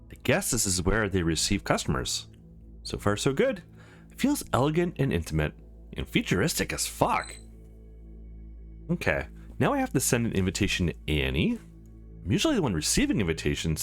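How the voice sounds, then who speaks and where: An easygoing young male visual novel hero, read out by a man. He talks casually and with wonder, his pitch moving widely. Soft music sits behind him.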